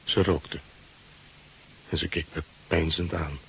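A man's voice: very deep voice, little pitch movement, takes it slowly, gravelly, a bit bright, full character voice, rough recording. An actor playing a brooding, terse ship's first mate who narrates his story in a Dutch radio play. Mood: brooding, reflective. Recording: hissy old radio recording.

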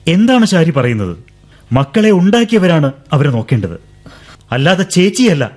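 An earnest pleading suitor. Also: male voice, on the high side, big pitch swings, normal speed, darkish tone. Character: young man pleading with his beloved, earnest suitor. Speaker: lead character. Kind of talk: 1970s radio drama acting. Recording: old radio broadcast with faint music.

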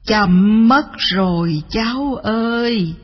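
Lady doing a sad old granny bird from a fairy tale, really slow, breathy, drawn-out. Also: on the high side, lo-fi sound. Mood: mournful. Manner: slow, drawn-out, mournful calling. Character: sorrowful old grandmother turned into a cuckoo bird.

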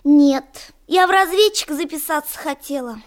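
Studious little schoolgirl played by a woman, very high voice, earnest and precise, children's radio drama. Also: normal speed, natural voice. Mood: earnest, proud. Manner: earnest, matter-of-fact explaining. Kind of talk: children's radio play acting.

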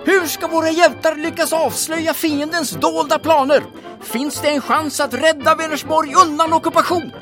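Serial announcer, high voice, dramatic scripted reading, clear music bed. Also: steady tone.

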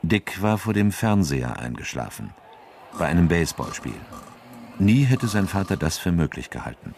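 Male radio drama narrator: very deep voice, strongly varied intonation, scripted storytelling. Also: very animated, moderate pace, background noise.

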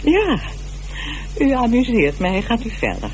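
A rich, haughty lady who is coolly defiant under questioning. Her clipped challenges swing dramatically up and down in pitch. It is a radio play with faint hum behind the voice. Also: wildly swooping pitch, unhurried, a little mellow, rough recording. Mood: haughty, mocking. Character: haughty, wealthy married woman, coolly defiant suspect.

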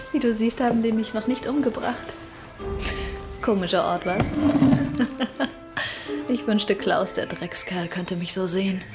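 A woman's voice: mid-range voice, measured pace, pauses often, over loud music, lightly acted. An actress playing a flippant, worldly young woman in a German radio drama, theatrical and ironic, hiding hurt behind breeziness. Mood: giddy, ironic.

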